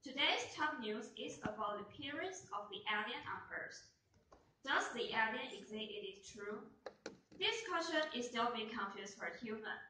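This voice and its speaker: A woman's voice: on the high side, lively intonation, normal speed, pushed and bright. A young non-native speaker of English playing an earnest female news reporter in a student radio play. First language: not English. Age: young adult. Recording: echoey, noisy, distant mic.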